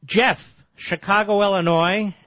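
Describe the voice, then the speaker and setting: A male radio talk show host questioning a caller, probing with quick follow-ups and cutting in. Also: high-pitched, fairly even intonation, fairly bright tone, no room sound, highs cut off.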